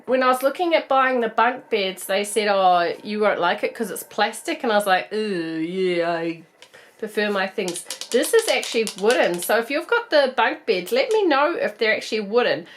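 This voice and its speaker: A woman in her thirties with a New Zealand accent, croaky from a cold, chatting bubbly through a casual doll unboxing review. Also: medium pitch, animated, moderate pace, a little hiss or hum. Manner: chatty, bubbly, laughs often. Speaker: doll collector vlogger.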